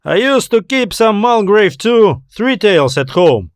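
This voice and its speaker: Man acting wry castaway guy, raised pitch, slangy, casual, breezy, storybook dialogue. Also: sing-song, measured pace, dry recording, clean studio sound, full character voice. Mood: sardonic, casual. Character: Lucas, breezy sardonic tall castaway man. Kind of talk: audiobook character dialogue.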